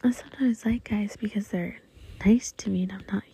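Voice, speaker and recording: A woman's voice: medium pitch, moderate pace, gravelly, mellow, husky. A young woman hosting a podcast, talking in a whispery, rambling, profane way as she vents casually. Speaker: podcast host. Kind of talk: casual venting monologue.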